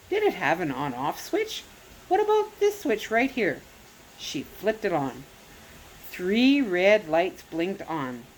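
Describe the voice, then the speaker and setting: A woman reads a children's adventure story aloud, her voice rising and falling in a lively, sing-song way. Her pitch sits mid-range for a woman. A faint hiss runs underneath the recording. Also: poor audio. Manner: expressive read-aloud with lively rises on exclamations; occasional self-corrections. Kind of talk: reading a children's chapter book aloud.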